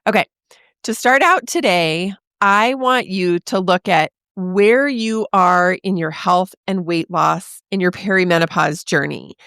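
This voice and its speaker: A woman in her forties hosting a podcast, talking straight to the listener in a chatty, encouraging way, her voice a little raspy from a sore throat. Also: lowish voice, a little slow, fairly bright tone, no echo, studio quality. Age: 40s. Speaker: podcast host. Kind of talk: casual podcast monologue.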